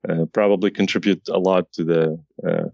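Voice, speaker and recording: A man's voice: deep voice, steady tone, a little fast, creaky, darkish tone, dry recording, highs cut off. A man in his thirties from Syria, a pharmacist answering podcast interview questions in a conversational way, often restarting his sentences. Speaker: pharmacy informaticist guest.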